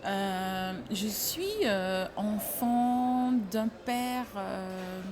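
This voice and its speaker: A woman from French Guiana, the founder of a fashion brand, talks about her childhood in an interview, slowly and hesitantly, with many fillers. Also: medium pitch, fairly even intonation, crowd noise.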